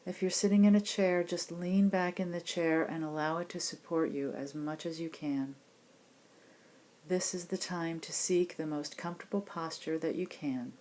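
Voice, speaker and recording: A woman meditation teacher giving guided body scan instructions in a gentle, unhurried, reassuring manner. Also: fairly low pitch, steady tone. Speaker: meditation teacher leading a class program.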